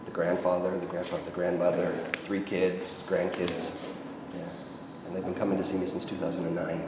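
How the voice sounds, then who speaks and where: A middle-aged man with a low voice leads a hands-on massage lesson, chatting easily and giving quick instructions. He is caught from far off by a camera in a large, echoey room with steady noise in the background. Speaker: massage teacher. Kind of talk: hands-on teaching demonstration. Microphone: far handheld camera.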